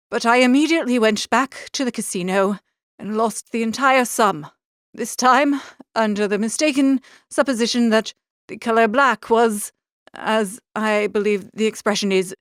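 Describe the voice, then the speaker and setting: A woman's voice: a little high, dry recording, clean studio sound, natural voice. A woman voicing an earnest, mournful young male curate in an audiobook, speaking formally and pleadingly. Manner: formal, wordy, pleading speech. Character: earnest, mournful young curate, a man, confessing gambling ruin. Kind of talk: audiobook character reading.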